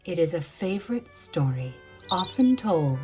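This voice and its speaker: A woman narrating a spooky tale in a theatrical, suspenseful way, with deliberate dramatic pauses, like a storybook reader. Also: fairly low pitch, very animated, a little mellow, soft background music.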